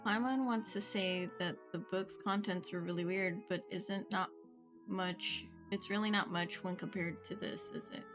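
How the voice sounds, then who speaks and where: Woman game streamer over clear background music. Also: medium pitch, fairly even intonation, moderate pace. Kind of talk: livestream read-aloud of game dialogue with casual reactions. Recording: music behind the voice. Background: video game music.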